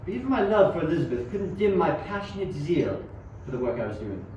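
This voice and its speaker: In a very echoey, dull recording, a young man plays an obsessed young Victorian-era scientist in a radio play. His delivery is formal, dramatic and lively in pitch. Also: fairly quick. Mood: brooding. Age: young adult. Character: earnest, obsessive young 19th-century male scientist narrating his tale. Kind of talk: amateur radio play acting with scripted narration. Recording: very echoey, distant, dull, faint noise.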